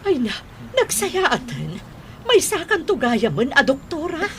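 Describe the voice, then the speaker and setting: A woman's voice: sits high, huge pitch swings, measured pace, a bit bright, slight background noise, exaggerated voice. A woman playing a sociable older village schoolteacher, chatty and exclamatory in an audio drama. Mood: friendly surprise. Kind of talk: Philippine audio drama acting.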